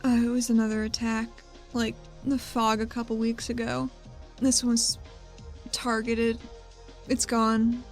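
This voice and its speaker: Lady player in an rpg session, slightly high voice, casual, narrating her character, quiet music behind. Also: level tone, a little slow. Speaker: tabletop game player. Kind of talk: casual roleplay, describing her character's actions.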